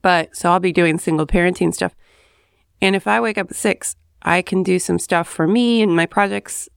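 A woman hosting her podcast, chatting in a rambling way with asides, hoarse from having just woken up at home. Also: on the low side, moderate pace, dry recording, clean studio sound. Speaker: podcast host. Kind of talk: casual solo monologue. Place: home, early morning.

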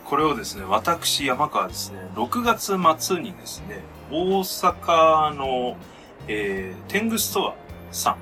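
A man with a fairly high, animated voice chats as a podcast co-host. He jokes casually with his friends and laughs readily. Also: unhurried, soft background music. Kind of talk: casual chat between friends.

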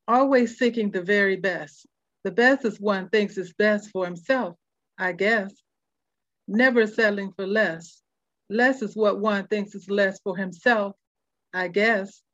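An older woman reads her own poem in measured, short phrases with a rhyming cadence. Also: medium pitch, fairly bright tone, no room sound. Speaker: poet reading own work. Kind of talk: poetry recitation. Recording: dull, lo-fi dry recording.

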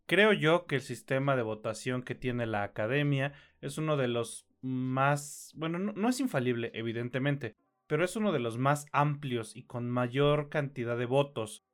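A man's voice: mid-range voice, normal speed, clean tone. A tired Mexican man co-hosting a film podcast, rambling informally and correcting himself as he goes. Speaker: podcast co-host. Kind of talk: casual film podcast chat.

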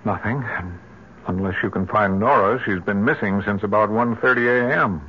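An actor playing a grief-stricken, haunted father in old-time radio drama, halting and intense on a dull vintage recording. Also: fairly low pitch, slightly rough. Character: grief-stricken, haunted father convinced his dead daughter calls.

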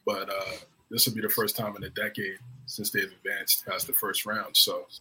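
Low, bright-voiced man over a faint hiss. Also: moderate pace, poor audio. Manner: excited, repeats himself. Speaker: podcast co-host. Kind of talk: casual sports chat.

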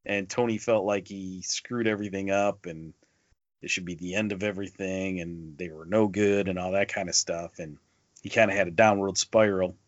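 A man's voice: on the low side, no echo. A man co-hosting a podcast, chatting casually about movies and telling stories in a conversational way. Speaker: podcast co-host. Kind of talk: casual movie review chat. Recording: dull lo-fi podcast audio.

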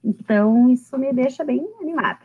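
A woman in her late thirties is chatting on a podcast, her voice sitting fairly high. She jokes at her own expense and laughs easily. The recording is dull and lo-fi. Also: brisk, breathy edge. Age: 30s. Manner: chatty, self-deprecating, laughs often. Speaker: podcast guest. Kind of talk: casual interview.

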